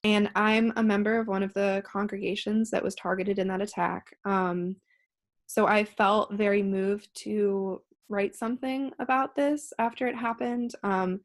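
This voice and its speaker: A woman in her twenties with an American accent, answering interview questions conversationally as a playwright. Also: mid-range voice. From Pittsburgh, United States. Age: 20s.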